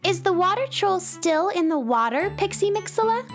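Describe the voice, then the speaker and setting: A woman's voice: very high voice, big pitch swings, normal speed, soft background music, exaggerated voice. A woman playing a friendly, earnest young space girl in a children's radio drama, speaking with childlike eagerness. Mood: cheerful, eager.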